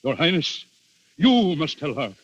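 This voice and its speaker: A man's voice: a little high, big pitch swings, a little slow. An actor playing a desperate noble duke and father, formal and earnest in 1930s radio drama style. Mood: tense, grave. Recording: muffled old 1930s radio recording.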